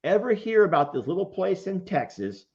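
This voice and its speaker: Casual, folksy host for live caller chat, animated intonation, slightly muffled lo-fi audio. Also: medium pitch, moderate pace. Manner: folksy, informal. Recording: dull, lo-fi sound.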